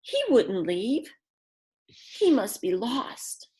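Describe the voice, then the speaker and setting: A woman voicing an earnest young storybook boy who is devoted to his lost dog, speaking in a slow, drawn-out way. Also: fairly high pitch, sing-song, a little mellow, heavily acted. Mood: earnest, hopeful.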